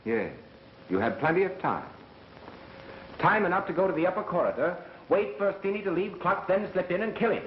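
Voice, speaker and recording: Calm wry american amateur detective, old 1930s movie, hissy dull soundtrack. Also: male voice, high voice, a little fast. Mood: probing, accusing. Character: calm, methodical young male radio engineer playing amateur sleuth. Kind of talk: 1930s film acting.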